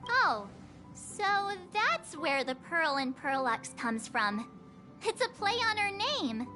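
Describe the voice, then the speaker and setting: Very high-pitched sweet anime girl. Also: very animated, takes it slowly, pushed and bright, full character voice. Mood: curious. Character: sweet, earnest young girl teammate. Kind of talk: anime game voice acting. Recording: music behind the voice.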